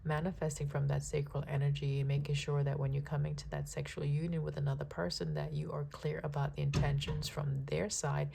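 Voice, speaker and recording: Raspy low-voiced woman reading tarot. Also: slight background noise. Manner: calm and unhurried, checks in with listeners often; soft-spoken, prayerful. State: raspy, froggy early-morning voice. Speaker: tarot reader. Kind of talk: spiritual tarot reading with spoken prayers.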